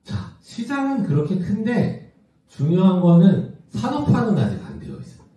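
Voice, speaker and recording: A man's voice: high voice, wildly swooping pitch, moderate pace, mellow. A man of about fifty from South Korea giving a business presentation in a conversational way, often putting questions to his listeners. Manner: conversational, asks the audience rhetorical questions. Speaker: company executive presenting. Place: large indoor event room with audience. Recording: muffled, echoey hall, distant from mic.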